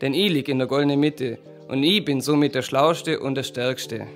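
A man's voice: mid-range voice, normal speed, faint music behind, natural voice. A man playing a boastful, self-assured young farmer's son in a fairy-tale audio story. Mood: cocky.

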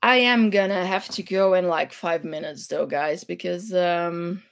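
A woman's voice: lowish voice, unhurried. A woman in her thirties from Belgium with a Flemish accent, rambling casually to viewers on a live stream from her craft room. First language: Dutch. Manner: rambling, relaxed, chatty with viewers. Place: home craft room with shelves, indoors. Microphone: webcam at desk.

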